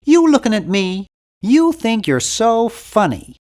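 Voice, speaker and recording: A young, timid nerd from a cartoon adventure game. His pitch sits high for a man and swings widely from phrase to phrase. He sounds prim and bookish, with a wry, fussy edge. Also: big pitch swings, slow pace, a little mellow, heavily acted. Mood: mock tough. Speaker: game character voice actor.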